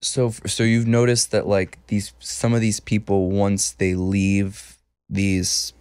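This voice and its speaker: A man's voice: fairly low pitch, flat delivery, takes it slowly, a little mellow, clean tone, no echo. A man co-hosting an interview podcast, asking questions hesitantly with lots of fillers. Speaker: podcast co-host. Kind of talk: interview conversation.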